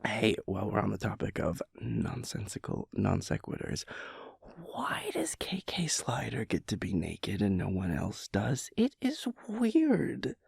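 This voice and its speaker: A man playing a sleepy, playful boyfriend in an intimate audio roleplay, theatrical and mock-dramatic, with breathy, hushed asides. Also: lowish voice, wildly swooping pitch, unhurried, no room sound, clean studio sound, exaggerated voice. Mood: silly rambling.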